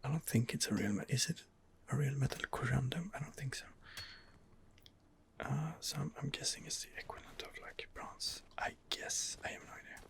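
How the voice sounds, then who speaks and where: A man whispers ASMR commentary over a game he is playing. His voice is breathy and very creaky, at a middling pitch. He muses in a hushed, hesitant way. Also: a little fast. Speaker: gameplay host.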